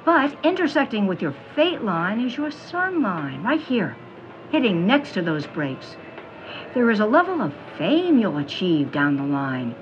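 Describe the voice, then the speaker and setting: A woman playing a fortune-teller in an audio drama, reading palms and cards with slow, portentous pauses. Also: mid-range voice, very animated, a little hiss or hum. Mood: mystical, portentous. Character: theatrical fortune-teller, businesslike about payment. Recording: dull sound with music behind.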